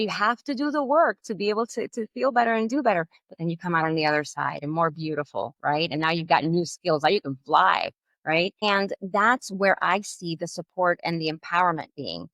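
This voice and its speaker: A woman from Puerto Rico, a guest attorney, talks in a lowish voice. She explains her points with energy and colorful images. The recording is dry and close. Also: no room sound. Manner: animated, explains with vivid metaphors. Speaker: guest, family law attorney. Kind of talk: conversational interview.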